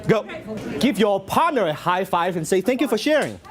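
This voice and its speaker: A man's voice: sits high, normal speed, crowd noise. A middle-aged man with a Hong Kong accent, speaking to a live seminar audience and asking them questions as he teaches. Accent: Hong Kong Cantonese. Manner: lively, interactive, asks the audience questions.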